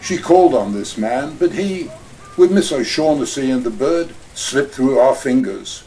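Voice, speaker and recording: A man's voice: medium pitch, expressive, unhurried, dark tone, far from the mic, lightly acted, poor audio. Gutman, a man playing a genteel crime boss. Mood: smooth scheming. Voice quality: formal, elaborate phrasing. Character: portly scheming male crime boss, smooth and genteel. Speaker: actor in community audio drama. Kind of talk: radio play acting.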